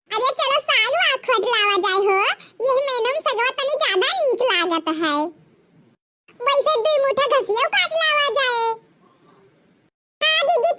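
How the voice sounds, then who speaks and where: An extremely high, squeaky, childlike cartoon village woman with a rustic Awadhi accent. She chatters and gossips in a giggly comic way, drawing out her exclamations. The home recording is clearly noisy. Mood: chatty. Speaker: cartoon voice performer. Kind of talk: rustic comedy cartoon dialogue.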